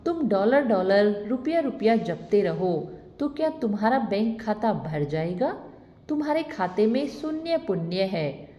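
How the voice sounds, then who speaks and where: A woman's voice: mid-range voice, very animated, a little slow, dark tone. A woman voicing a stern divine voice from the sky that scolds and questions. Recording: echoey reverberant room.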